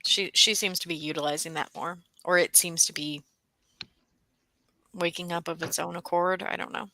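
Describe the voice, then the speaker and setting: Bright woman chatting tentatively in dry sound. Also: on the low side, fairly even intonation, normal speed, no echo. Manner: tentative, peppering speech with "like". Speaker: book discussion co-host. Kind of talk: casual chat.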